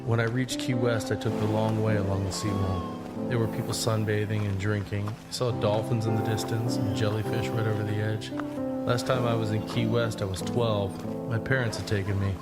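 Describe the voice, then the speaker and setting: Man acting young traveler, first-person audio drama, lower pitch, introspective, understated, strong music bed. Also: level tone, moderate pace, lightly acted. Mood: reflective. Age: young adult. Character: reflective young long-distance walker telling his journey.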